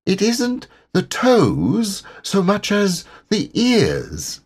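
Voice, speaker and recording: A man voicing a small, anxious young piglet in a storybook, with a high, timid, squeaky voice. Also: wildly swooping pitch, drawn-out words, slightly rough, heavily acted.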